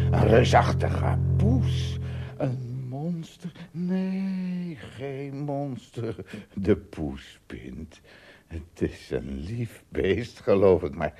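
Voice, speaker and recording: An actor playing an astonished, easily frightened male traveller, tiny among giants, in a Dutch radio play, talking to himself with exclamations and hesitant repeats, his voice husky and gravelly. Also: low voice, exaggerated voice. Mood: alarmed wonder.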